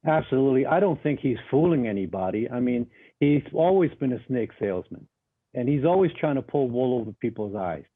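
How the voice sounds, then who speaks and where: A man's voice: medium pitch, lively intonation, fairly quick. A man interviewed as a guest over a remote line, answering emphatically and bluntly, often repeating words as he starts a sentence. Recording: tinny phone-like remote line.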